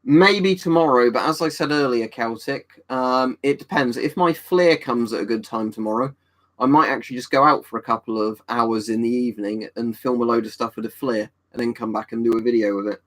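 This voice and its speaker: A man's voice: medium pitch, brisk, fairly bright tone. A man, casually chatting and answering viewers on a livestream. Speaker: livestream host reading chat. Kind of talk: casual chat.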